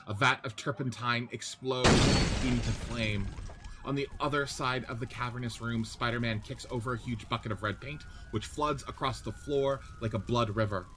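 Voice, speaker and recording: A man narrating screenplay scene directions as a podcast host and reader. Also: medium pitch. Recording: faint background noise.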